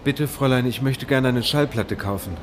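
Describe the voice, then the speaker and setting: A man's voice: mid-range voice, normal speed, lightly acted. A man playing a shy, awkward young bank robber in a radio play, speaking hesitantly with small stammers, music behind him. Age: young adult. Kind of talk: radio drama acting.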